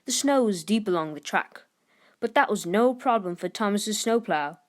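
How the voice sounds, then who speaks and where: A woman with a mid-pitched voice narrates a children's storybook. She reads the scripted text expressively, as one would to young listeners. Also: moderate pace. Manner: expressive, lifts voice for character dialogue. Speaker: storybook reader.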